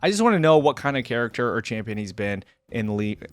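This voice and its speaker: A man's voice: medium pitch, very animated, quick pace, no room sound. Chatty man, dry close sound. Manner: rambling, thinks aloud, many fillers. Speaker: reaction video host. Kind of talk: casual chat to viewers.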